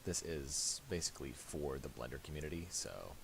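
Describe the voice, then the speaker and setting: A man giving a casual, unscripted software tutorial, halting as he thinks aloud while he works. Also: a bass voice, animated, gravelly. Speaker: tutorial host. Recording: hissy home recording with mouse clicks.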